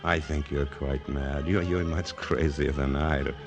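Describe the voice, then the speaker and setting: An actor playing a tormented, guilt-ridden amnesiac fugitive in a 1940s radio drama, brooding and halting in his delivery. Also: extremely low pitch, steady tone, creaky, heavily acted. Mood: troubled. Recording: vintage radio broadcast with orchestral music.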